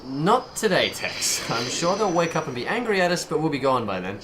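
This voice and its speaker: A man's voice: on the high side, big pitch swings, brisk, background noise. A man playing a cavalier, wisecracking smuggler pilot in an audio drama, sarcastic and breezy. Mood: wry, cocky. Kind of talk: scripted audio drama acting.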